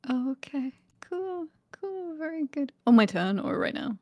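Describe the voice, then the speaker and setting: Slow, very animated high-voiced woman gamer. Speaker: tabletop game player. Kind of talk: casual chat.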